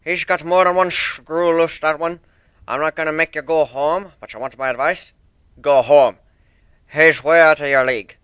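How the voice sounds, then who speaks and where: A man voicing a grizzled, stern, deadpan old sailor pegasus in an amateur dramatic reading of a cartoon fan story. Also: a little high, normal speed, smooth, dry recording, voice pushed up, close to an everyday voice. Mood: blunt, advising. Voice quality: stern, slurred S sounds, rolled R's. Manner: deadpan, blunt seafaring talk. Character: grizzled old male sea dog pegasus, gruff, deadpan.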